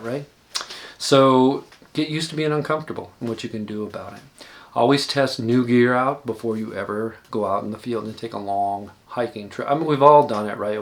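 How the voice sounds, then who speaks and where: A man in his sixties giving casual, rambling hiking advice, often pausing and correcting himself. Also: mid-range voice, gravelly. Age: 60s. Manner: relaxed, rambling, self-correcting. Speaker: hiking vlogger.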